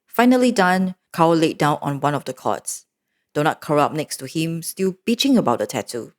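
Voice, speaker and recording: Animated woman storyteller. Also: sits low, normal speed. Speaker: narrator. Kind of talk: storybook recap narration.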